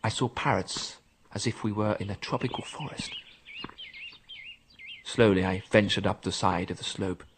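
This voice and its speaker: An actor playing a professor who recounts a time-travel expedition in measured, literary radio drama narration, full of awe. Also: male voice, lowish voice, noisy background, natural voice. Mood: measured wonder.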